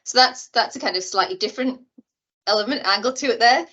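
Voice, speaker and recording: A woman's voice: on the high side, fairly quick, pushed and bright. A woman giving a long, explanatory answer in an online seminar, with many fillers like "you know" and "kind of". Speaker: invited expert. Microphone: video call. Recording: muffled video-call audio.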